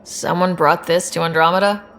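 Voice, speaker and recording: Wry, low, creaky-voiced space explorer. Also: female voice, steady tone, moderate pace, a bit bright, a little hiss or hum. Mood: curious, reflective. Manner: wry, casual. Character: composed, wry young female space explorer, team leader. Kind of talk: video game dialogue acting.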